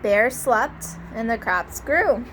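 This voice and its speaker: Expressive woman teacher reading a storybook. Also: a little high. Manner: expressive, drawn-out emphasis, prompting listeners with questions. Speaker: teacher reading aloud to class. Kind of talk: animated storybook read-aloud with questions to children. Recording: light background noise.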